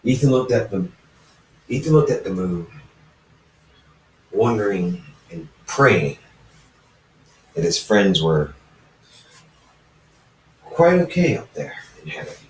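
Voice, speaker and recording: A man reading fan fiction aloud as an amateur narrator, halting and often going back to repeat phrases. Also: lowish voice, very animated. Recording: roomy echo, distant from the mic.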